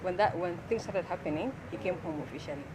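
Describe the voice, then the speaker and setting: A woman's voice: on the low side, quick pace. A young woman with an East African accent telling her personal story as an interview guest, often searching for words. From South Sudanese, grew up in Kenya. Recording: outdoor traffic noise behind voice.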